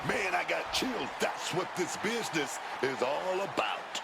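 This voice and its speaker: A man's voice: fairly high pitch, sing-song, measured pace, creaky, full character voice. A man playing an alarmed, big-hearted color commentator reacting to pro wrestling in a video game. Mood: moved. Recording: loud arena noise behind the voice. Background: noisy wrestling arena.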